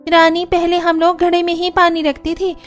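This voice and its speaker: Woman voicing a very high-pitched young Indian sister-in-law. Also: completely flat delivery, normal speed, soft background music. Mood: defensive, explaining. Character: young Indian sister-in-law in poor household, earnest and helpful. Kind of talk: Hindi cartoon story dialogue.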